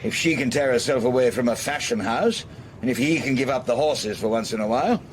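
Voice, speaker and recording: An actor playing a genial, theatrical English gentleman with a breezy sailor's heartiness in a radio drama. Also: medium pitch, a little fast, natural voice. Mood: chatty. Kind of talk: British radio drama acting. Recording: noisy radio play recording.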